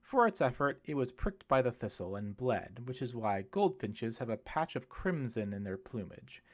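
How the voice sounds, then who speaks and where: A man voicing a kindly, musing priest in an audiobook, speaking in a reflective, explanatory way with gentle wit. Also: medium pitch, very animated, moderate pace. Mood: thoughtful. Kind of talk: audiobook character dialogue.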